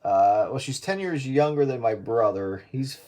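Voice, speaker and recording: A man's voice: medium pitch, expressive, moderate pace, mellow. A man in his late forties chatting casually over a radio link. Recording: radio link with clear noise and clicks.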